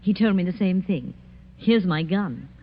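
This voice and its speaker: A woman's voice: on the low side, animated, unhurried. An actress playing an arch, drily witty, slightly nervy lady mystery writer in a 1940s radio drama. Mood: polite, intrigued. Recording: muffled, hissy vintage 1940s radio recording.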